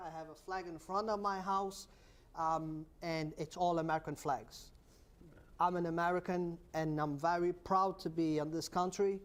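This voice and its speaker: Soft-spoken Portuguese-accented male guest. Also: high-pitched. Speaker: guest, neighborhood association leader. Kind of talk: talk show interview.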